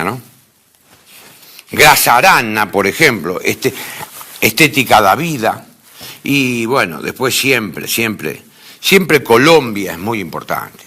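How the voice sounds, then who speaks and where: Animated Argentine man lecturing, bright voice. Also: fairly low pitch. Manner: emphatic, repeats phrases for stress. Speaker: lecturer in philosophy. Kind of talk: informal academic lecture.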